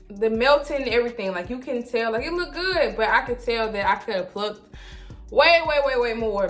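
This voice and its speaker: A young woman telling a casual story to viewers in an animated way, acting out her own reactions and quotes as she goes. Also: a little high. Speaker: vlogger.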